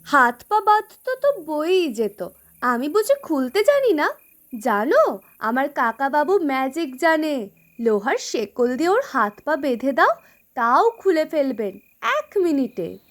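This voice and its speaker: A woman's voice: high-pitched, very animated, unhurried. A woman playing a bold, cheeky, loyal young girl in an audio story, eager and outspoken. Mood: boastful. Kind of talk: Bengali audio story acting.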